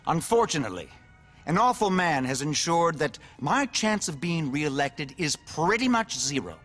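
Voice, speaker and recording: An actor playing a smug, cynical, needy politician in a video game, with a pompous, glib and effusive manner. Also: male voice, a little high, very animated, moderate pace, a bit bright, faint music behind. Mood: smug, scheming. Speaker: politician character. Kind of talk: video game cutscene acting.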